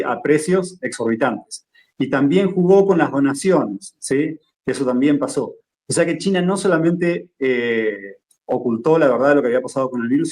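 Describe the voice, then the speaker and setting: A man's voice: on the high side, a little mellow. A man from Argentina with an Argentine Spanish accent, a journalist guest explaining at length and checking in with "¿sí?". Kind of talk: interview conversation.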